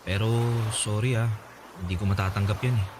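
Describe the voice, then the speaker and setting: An actor plays a guarded young Filipino man in a radio drama. His voice is fairly low, measured and apologetic, with clear ambient noise behind it. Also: fairly even intonation, natural voice. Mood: guarded, hesitant. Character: sober, guarded young man refusing love. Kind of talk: Tagalog radio drama acting. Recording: noisy ambient sound behind dialogue.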